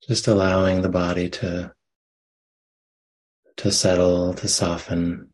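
Low, slow-speaking man guiding meditation. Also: level tone, rough recording. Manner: gentle, unhurried phrasing with long pauses. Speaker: meditation teacher. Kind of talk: guided meditation, slow soft instruction.

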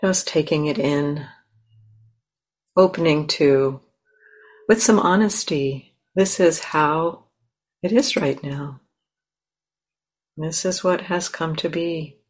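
A woman teacher leading a guided meditation in a gentle, unhurried way with long pauses between phrases. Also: low-pitched.

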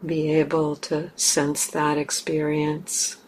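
A woman meditation teacher leading a guided meditation gently and haltingly, in short phrases with long pauses. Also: low-pitched, little pitch movement, rough recording. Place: meditation center hall. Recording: clear background noise, room ambience.

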